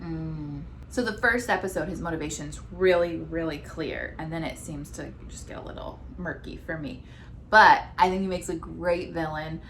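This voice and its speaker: Animated American woman chatting casually. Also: low-pitched, moderate pace, creaky, a little hiss or hum. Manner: animated, acting out quotes in exaggerated voices. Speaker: co-host of a TV discussion show. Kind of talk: casual chat. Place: living room, on a couch at home.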